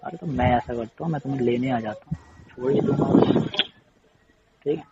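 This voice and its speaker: A man's voice: medium pitch, moderate pace, close to an everyday voice. A man playing a regular client on a casual phone call, argumentative but caring, pressing his point and repeating himself with questioning tags. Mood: concerned, coaxing. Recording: muffled, noisy phone-call recording with clicks.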